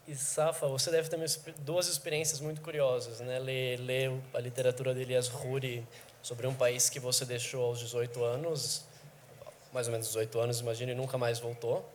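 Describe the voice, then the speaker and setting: A man moderates a book fair panel in a very flat voice, asking long and measured questions. Faint ambient noise sits under his voice. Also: mid-range voice.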